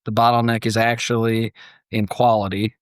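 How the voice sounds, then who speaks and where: A man hosting a podcast, moving between storytelling and casual discussion, acting out imagined quotes in an animated way. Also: fairly low pitch, normal speed, clear, resonant tone, no echo, clean studio sound. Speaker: podcast host.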